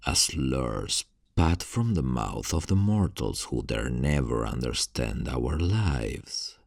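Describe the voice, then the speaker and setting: A man playing a seductive male vampire master in an ASMR roleplay, speaking in a formal, florid, coaxing way with a gravelly, husky voice. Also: very deep voice, no echo, clean studio sound, heavily acted. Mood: proud, brooding. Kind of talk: ASMR roleplay, scripted monologue.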